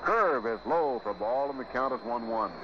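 An American man doing 1950s radio baseball play-by-play in short, measured, clipped phrases. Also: mid-range voice, animated, dull audio. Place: ballpark radio broadcast. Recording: noisy, band-limited 1950s radio broadcast.

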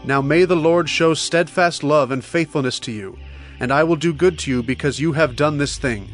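A man's voice: a little high, moderate pace, natural voice. A man playing a gracious, solemn king in a dramatized scripture audio drama, speaking formally. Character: gracious, solemn young king addressing his people. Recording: faint music behind the voice.

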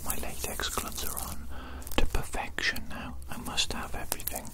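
A young man playing a smug, condescending evil mad doctor in a breathy ASMR roleplay whisper, slow and deliberate, repeating phrases close to the mic. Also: lightly acted. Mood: fussy, soothing. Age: young adult. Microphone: close microphone.

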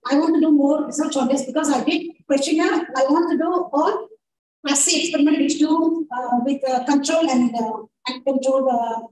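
A woman's voice: high voice, background noise. A woman lecturer presenting a conference paper in English, her second language, hesitant with many fillers and restarts. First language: not English. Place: large conference ballroom. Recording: echoey ballroom from far off.

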